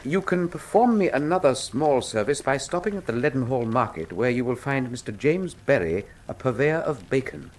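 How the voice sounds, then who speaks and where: An actor playing a brilliant, cool Victorian detective, speaking formally and with dry wit in a radio drama. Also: male voice, mid-range voice, lively intonation, normal speed, few pauses, slight background noise, lightly acted. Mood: incisive, businesslike. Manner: precise, formal, dryly witty. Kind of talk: full-cast radio drama acting.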